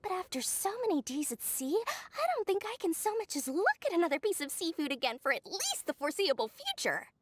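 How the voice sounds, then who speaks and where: A woman's voice: very high voice, huge pitch swings, fairly quick, few pauses, no echo, exaggerated voice. A woman voicing a wily, self-assured and wordy character in a fantasy video game, with theatrical delivery. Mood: persuasive. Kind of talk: English video game voice acting. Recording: dry studio voice with music behind.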